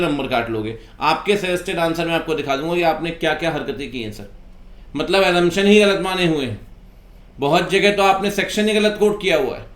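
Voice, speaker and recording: A man, an accountancy teacher giving an impassioned, informal rant in an exasperated, reproachful way full of rhetorical questions. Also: sits high. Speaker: accountancy teacher and video host. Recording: echoey room.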